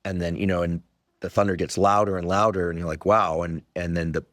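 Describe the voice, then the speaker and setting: Man, middle-aged, from the Bay Area, very deep voice, energetic storytelling host, dry close mic. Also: fairly quick, no room sound. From San Francisco Bay Area, US. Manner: energetic, storytelling, rhetorical questions. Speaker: podcast host. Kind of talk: casual interview chat.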